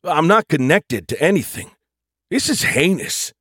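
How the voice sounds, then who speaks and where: Man doing a high-pitched surfer-dude ship computer. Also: big pitch swings, unhurried, fairly bright tone, husky, no echo, clean studio sound, exaggerated voice. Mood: alarmed, dazed. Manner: slangy, hesitant, filler-heavy drawl.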